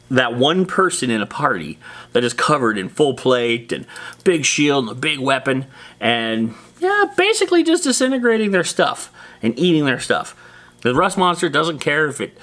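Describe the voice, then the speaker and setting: Bright-voiced man rambling casually about tabletop gaming. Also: medium pitch. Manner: animated, slangy, rambling with dramatic emphasis. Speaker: host of a tabletop roleplaying monster lore series. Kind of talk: casual unscripted explainer to viewers.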